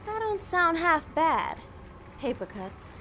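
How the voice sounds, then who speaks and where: A woman's voice: extremely high pitch, very animated, takes it slowly, sharp tone, slight background noise, exaggerated voice. A woman playing a dry, terse, streetwise worker in a comedy audio drama. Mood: dry, impatient. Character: wry, streetwise damned female worker, no-nonsense.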